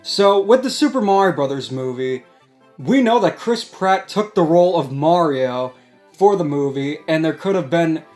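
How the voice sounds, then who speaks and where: Guy hosting a chatty commentary, kinda high voice, music in the background. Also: lively intonation, normal speed, darkish tone. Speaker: host. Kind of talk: casual discussion commentary. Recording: music playing behind the voice.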